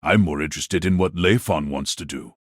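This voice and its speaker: Male actor as aloof fighter, bottomless low pitch, husky rasp, relaxed menace, audio drama. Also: dry recording, studio quality. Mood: calm, indifferent. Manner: relaxed, unhurried. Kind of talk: audio drama character dialogue.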